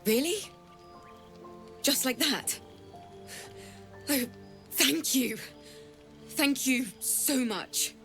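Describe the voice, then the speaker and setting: Eager young bard girl, kinda high voice, slow and heartfelt. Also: pushed and bright, soft background music, exaggerated voice. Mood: grateful. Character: earnest young female bard.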